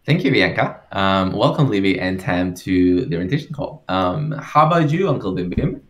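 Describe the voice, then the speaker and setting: Echoey low-voiced man hosting a call. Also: very animated, normal speed. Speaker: call host.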